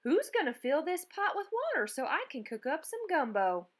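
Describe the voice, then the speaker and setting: A woman's voice: high voice, big pitch swings, moderate pace. A woman doing a grumpy old alligator. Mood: grumbling. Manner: moaning, stubborn.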